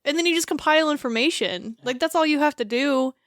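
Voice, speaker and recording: A woman's voice: high voice, fast, no room sound, clean studio sound. A woman co-hosting a casual podcast, talking animatedly and swearing freely, often slipping into mocking quoted voices. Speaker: podcast co-host. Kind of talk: casual chat.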